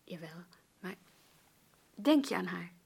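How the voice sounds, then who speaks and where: An actress playing a concerned, tactful woman, asking gently persistent questions in a naturalistic radio drama. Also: on the low side, animated, slow pace, creaky, breathy edge, heavily acted. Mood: tentative, concerned. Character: concerned, gently probing woman visiting a grieving family.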